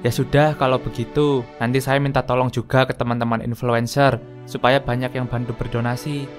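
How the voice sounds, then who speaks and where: A man voicing a polite, formal charity foundation owner in a cartoon drama, speaking in a measured way. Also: medium pitch, flat delivery, faint music behind, close to an everyday voice. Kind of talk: animated cartoon voice acting.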